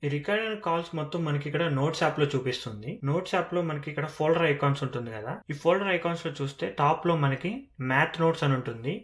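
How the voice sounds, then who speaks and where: Telugu guy doing a phone tech walkthrough, talks really fast, voice a bit high, drops in english words. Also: fairly bright tone. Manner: explanatory, mixes English terms into speech. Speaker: tech video presenter. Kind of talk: phone feature walkthrough narration.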